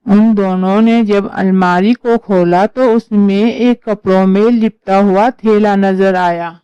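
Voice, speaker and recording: A woman's voice: mid-range voice, mellow, smooth, dry recording, lo-fi sound. A woman narrating a scripted story as a storyteller.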